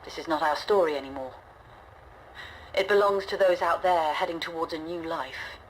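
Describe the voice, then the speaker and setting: An actress playing a weary, resolute woman leading a stranded generation ship, recording game audio logs in a measured, grave, reflective manner. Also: low-pitched, a little hiss or hum, natural voice, poor audio. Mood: solemn farewell. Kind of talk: scripted audio log narration, dramatic game acting.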